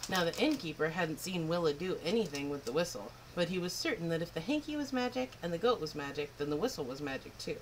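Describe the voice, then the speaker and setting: Expressive woman reading a children's story. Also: fairly low pitch, fast, hardly any pauses, a little hiss or hum, poor audio. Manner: expressive, lilting narration. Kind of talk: storybook read-aloud.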